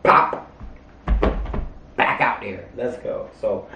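A middle-aged man from Hawaii chatting on a couch in a family Q&A, with a low, gravelly voice. He jokes and swings from loud bursts to quiet asides. The sound comes from an echoey room with the mic set back and a faint hiss. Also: drawn-out words, far from the mic, lo-fi sound. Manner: animated, jokey, bursts loud then drops to asides. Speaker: family show host. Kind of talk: casual family Q&A. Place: indoor bedroom, on a couch. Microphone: handheld mic.

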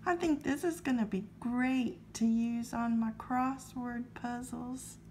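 High, slow-talking woman with scratchy throat. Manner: friendly, calmly reads out numbers. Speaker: lottery ticket scratching vlogger. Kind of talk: casual to-camera chat while scratching tickets.

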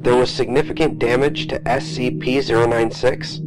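Male actor as composed, methodical official debriefer, medium register, audio drama over clear background music. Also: mid-range voice, steady tone, natural voice, poor audio. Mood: calm, clinical. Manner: measured, formal, probing. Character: calm, clinical male interviewer debriefing a soldier. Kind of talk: audio drama, formal interview log.

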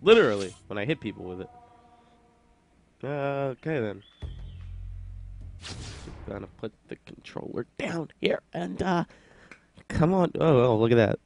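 A man's voice: mid-range voice, lively intonation, measured pace, creaky, pauses often, a little mellow. A man from Pennsylvania giving casual, joking let's play commentary with sarcastic asides. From Pennsylvania, USA.

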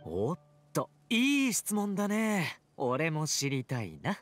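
An actor playing a teasing, playful young fighter in a Japanese game, casual and smooth-talking with an edge. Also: male voice, on the high side, huge pitch swings, slow, a bit bright, faint music behind, heavily acted. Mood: amused. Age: young adult. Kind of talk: Japanese anime-game voice acting.

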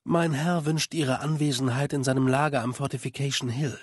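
A man voicing a cold, calculating spymaster of a legion in a video game, speaking in a formal, measured, condescending way. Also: medium pitch, fairly even intonation, slightly rough, no echo, clean studio sound, lightly acted. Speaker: game character voice actor. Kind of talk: dubbed video game dialogue.

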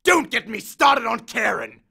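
A man's voice: sits high, big pitch swings, normal speed, gravelly, bright, forceful tone, breathy edge, exaggerated voice. A man voicing an angry, aggrieved male god of the dead who bellows complaints and threats in an audiobook. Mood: aggrieved ranting. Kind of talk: audiobook character voice.

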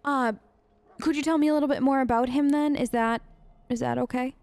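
High-pitched chatty woman gamer. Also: fairly even intonation, brisk, darkish tone, dry recording. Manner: chatty, polite, rambling. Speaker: gaming video host. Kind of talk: improvised role-play chat over a video game.

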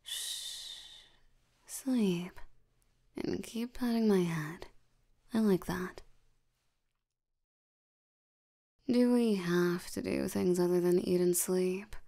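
A woman voicing a tired, languid sloth demon in an intimate ASMR roleplay, soft and often nearly whispering, with a drowsy deadpan delivery. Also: medium pitch. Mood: drowsy, affectionate. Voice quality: soft, creaky, often breathy near-whisper.

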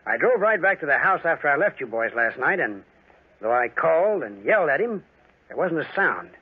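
An actor playing a formal small-town organizer of a duel, in 1940s radio drama style. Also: male voice, fairly high pitch, big pitch swings, a little fast. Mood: worried. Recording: tinny 1940s radio recording.

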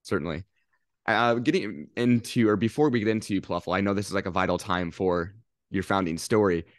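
A young man hosting a podcast interview over a video call, asking curious, upbeat questions into a studio mic on a boom arm. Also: brisk, no room sound. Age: young adult. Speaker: podcast host. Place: home room on a video call.

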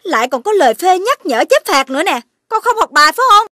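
Cross cartoon dog mom, very high bright voice, scolding, southern vietnamese accent. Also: female voice, very animated, quick pace, no echo, studio quality, exaggerated voice. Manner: sharp, scolding. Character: strict, exasperated mother dog. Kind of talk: animated children's cartoon acting.

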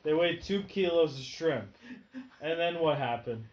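A man's voice: a little high, unhurried. A man co-hosting a podcast, teasing his partner with sarcastic, profane jokes. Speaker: podcast co-host. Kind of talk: casual chat. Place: home room with a dog. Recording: echoey room with faint noise.

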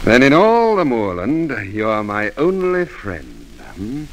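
An actor in a 1940s-style radio drama playing a bitter, newly rich gentleman, mocking, controlled and formal. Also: medium pitch, slow pace. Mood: probing. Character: bitter, sardonic, newly rich gentleman, former stable boy. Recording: old radio recording with steady hiss.

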